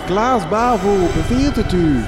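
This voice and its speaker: A man plays a dithering young hero in a radio drama. His high voice jumps about as he stammers, echoing under loud music. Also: lively intonation, slow, very noisy. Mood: nervous bluster. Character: hesitant, flustered young hero, unsure of himself. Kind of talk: comedy horror radio drama. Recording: echoey, loud music over the voice.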